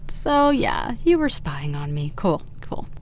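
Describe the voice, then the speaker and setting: A woman's voice: low voice, sing-song, slow pace, exaggerated voice. A woman playing a hurt, stubborn young woman with a dry, pointed manner, in naturalistic audio drama style. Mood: sardonic, hurt.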